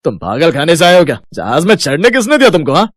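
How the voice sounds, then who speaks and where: A brash, hot-headed young man from a cartoon comedy, played by a male voice actor. He fires off boastful threats quickly in a raised, swinging pitch. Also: very animated, no room sound, clean studio sound. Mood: cocky, threatening. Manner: brash, mouthy.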